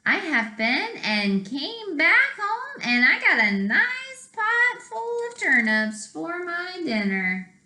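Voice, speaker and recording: A woman voicing a small, clever male pig in a children's storybook, in a very high squeaky voice, in an echoey room. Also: sing-song, takes it slowly, hardly any pauses, sharp tone, heavily acted. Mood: chirpy.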